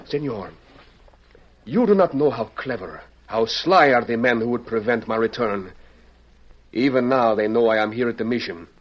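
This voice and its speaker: Actor as impassioned Mexican revolutionary, muffled vintage radio. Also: male voice, mid-range voice, expressive, moderate pace, close to an everyday voice. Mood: urgent, earnest. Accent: Mexican Spanish. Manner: earnest, formal, theatrical phrasing. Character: fugitive Mexican revolutionary leader, impassioned, proud, courteous. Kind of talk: old-time radio drama acting.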